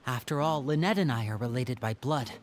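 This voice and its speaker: A man voicing a young man in a video game, a sharp older brother who probes teasingly and then turns tender and sincere. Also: mid-range voice, expressive, a little fast, close to an everyday voice.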